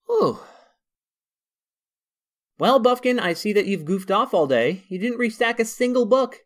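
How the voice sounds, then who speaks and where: A man's voice: sits high, lively intonation, a little slow. A man voicing a harried, flustered young office assistant. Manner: flustered, exasperated.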